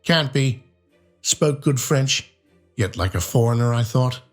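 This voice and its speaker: A man voicing a sharp, sardonic English gentleman, deliberate and cutting. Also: mid-range voice, lively intonation, faint music behind, pitched above the performer's own voice, close to an everyday voice. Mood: musing, sharp. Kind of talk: audiobook character reading.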